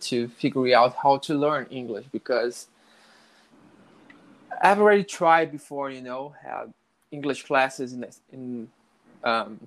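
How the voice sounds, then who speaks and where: A man's voice: medium pitch, takes it slowly, pauses often, low-quality recording. A man in his late twenties from Brazil, a native Portuguese speaker with a Brazilian accent, talking about learning English in a casual interview, hesitant, full of restarts and "you know". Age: 20s. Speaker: guest, network engineer learning English.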